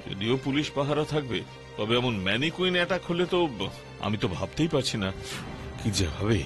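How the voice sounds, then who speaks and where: A mid-pitched male voice, acted by a man as a worried, courteous gentleman in a children's cartoon. He sounds hesitant and anxious. Music plays clearly behind his speech. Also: expressive, fairly quick, lightly acted. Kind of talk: cartoon dubbing in Bengali.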